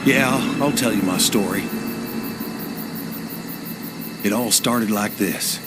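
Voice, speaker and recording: A man's voice: on the low side, normal speed, lightly acted. An actor playing a plainspoken rural American farmer who survived a zombie outbreak, narrating his haunted story for a video game in a folksy way. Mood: grim recollection. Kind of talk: scripted first-person game narration. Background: music.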